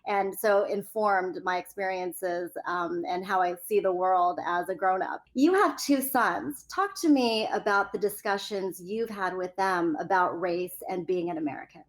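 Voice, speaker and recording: A woman's voice: lowish voice, measured pace, hardly any pauses, rough recording. A woman from California leading an interview conversation, speaking in a polished, articulate way. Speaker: host.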